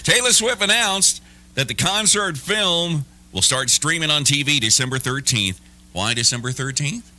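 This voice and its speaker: Animated, punchy male morning radio host. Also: fairly high pitch, normal speed. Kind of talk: lively radio banter. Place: radio studio. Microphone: close broadcast studio mic.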